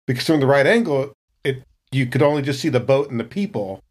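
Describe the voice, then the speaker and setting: A man with an average-pitched voice chats casually on a podcast. He tells stories informally, as if among friends. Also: animated, brisk. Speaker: podcast cast member. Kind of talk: casual chat, anecdotes.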